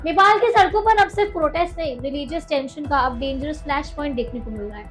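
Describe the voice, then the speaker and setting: Woman, very high voice, Indian accent, dramatic news explainer host, emphatic scripted narration, music underneath. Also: fast talker. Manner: dramatic, emphatic, posing rhetorical questions. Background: music bed.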